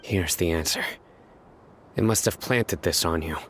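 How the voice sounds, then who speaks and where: Serious desert lawman for a video game: deep, calm, measured, methodical. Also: slight background noise. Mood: suspicious. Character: stern, calm, analytical male desert enforcer. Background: music.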